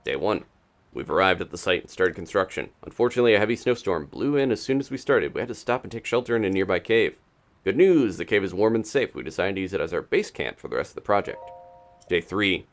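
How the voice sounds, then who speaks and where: A man's voice: low voice, lively intonation, quick pace. A man reading aloud an upbeat construction worker's field report from a video game, in a storytelling way. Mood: matter-of-fact, upbeat.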